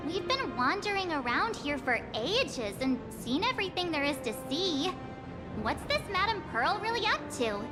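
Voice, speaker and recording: Very high, perky childlike companion. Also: female voice, very animated, normal speed, long reverb tail, music in the background. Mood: curious, playful. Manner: bubbly, teasing, exclamatory. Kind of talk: animated video game character dialogue.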